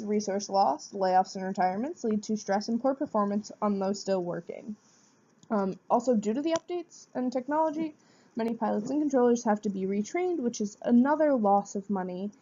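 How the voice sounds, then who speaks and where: Mellow woman presenting, muffled recording. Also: mid-range voice, moderate pace. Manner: explanatory, poses questions then answers them. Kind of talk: prepared informative presentation. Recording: dull, muffled lo-fi sound.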